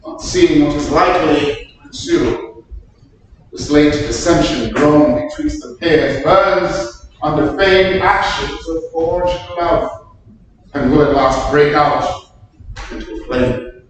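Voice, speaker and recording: A man playing a grave, brooding English nobleman in a solemn Shakespearean staged reading. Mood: grave foreboding. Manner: slow, solemn declamation. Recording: echoey distant room, faint rumbling noise.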